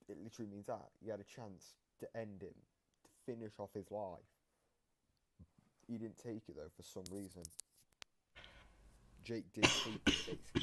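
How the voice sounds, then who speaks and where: Male reaction host, deep register, casual explainer who rephrases as he goes, light background noise. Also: bright, forceful tone. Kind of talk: casual explanatory commentary on game voice lines.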